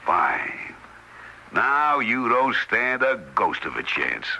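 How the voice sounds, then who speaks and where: An actor playing a gruff, needling middle-aged card cheat with streetwise banter in a radio drama. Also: male voice, mid-range voice, big pitch swings, slow, sharp tone, full character voice. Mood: cocky, irritated. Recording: noisy old radio drama recording.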